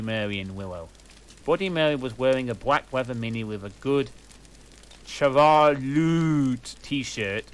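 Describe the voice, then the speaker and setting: A man reading a badly written story aloud in a slow, deliberate way, mock-dramatic and sarcastic. He reads out the typos and punctuation with dry mockery, in a voice of everyday middle pitch. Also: a bit bright, poor audio. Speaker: reader of a fan story.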